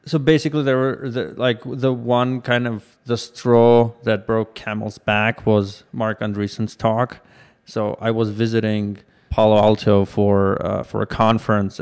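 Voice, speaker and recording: A man speaking in a fairly low voice. He answers interview questions in a relaxed, thoughtful way, pausing on fillers and restarting his sentences. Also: clear, resonant tone. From Armenia. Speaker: startup co-founder, guest.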